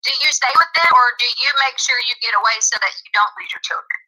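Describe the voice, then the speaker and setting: A woman's voice: fairly high pitch, lively intonation, quick pace, bright, forceful tone, low-quality recording. A woman in a casual but argumentative phone conversation, insistent and questioning. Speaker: caller in a private phone call.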